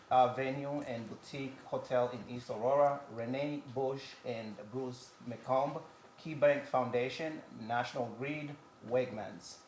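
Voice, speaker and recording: Measured man from Somalia. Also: mid-range voice, darkish tone, slight background noise, low-quality recording. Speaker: farmer and community organizer. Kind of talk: speaking at a live event.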